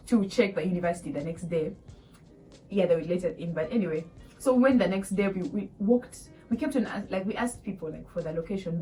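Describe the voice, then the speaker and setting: A young woman in her twenties with a Ugandan accent, telling a casual storytime to viewers, animated and laughing often. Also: fairly low pitch, recorded from a distance, poor audio. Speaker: vlogger. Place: small room indoors.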